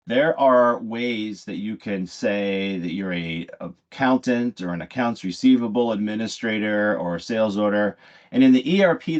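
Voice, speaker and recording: A man giving a live software demo in an explanatory, conversational way, often pausing mid-phrase. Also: fairly low pitch. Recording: dull, muffled lo-fi audio.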